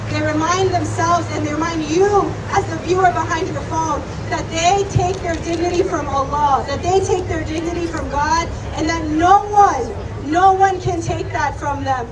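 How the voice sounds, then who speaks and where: A woman's voice: very high voice, far from the mic. A young woman giving an impassioned, emphatic protest speech outdoors, repeating phrases for emphasis. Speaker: rally speaker. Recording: crowded noisy outdoor rally, loud street noise. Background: crowd and vehicle noise.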